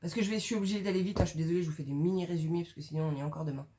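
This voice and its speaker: A woman's voice: on the low side, fairly even intonation, racing, mellow, slight background noise. Chatty young woman recommending books. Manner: chatty, slangy, many fillers. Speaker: book reviewer. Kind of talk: casual video book recommendations.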